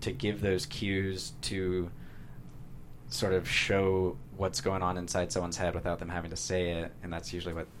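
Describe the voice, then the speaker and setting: A man's voice: low voice, completely flat delivery, moderate pace, a little hiss or hum. An American man, a writer being interviewed, explaining his thinking casually and thoughtfully with some hedging. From San Diego, USA.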